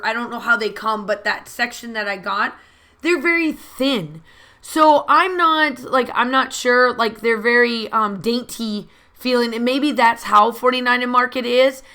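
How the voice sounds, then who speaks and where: A woman hosting a scrapbooking channel, rambling chattily through a crafting voiceover with many fillers, her voice slightly hoarse from allergies. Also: a little high, pushed and bright. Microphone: voice-over recorded separately from the video.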